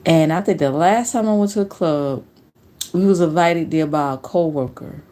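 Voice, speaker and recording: A woman in her late forties chatting casually on a live stream, telling stories in a relaxed, slangy way. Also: low-pitched, moderate pace. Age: 40s. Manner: relaxed storytelling with slang and laughs.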